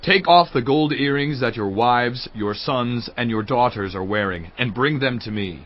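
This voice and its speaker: An actor playing Aaron, a defensive male priest who makes excuses in an animated, pleading way. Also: medium pitch, moderate pace, lightly acted. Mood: instructing. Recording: dull lo-fi audio with background music.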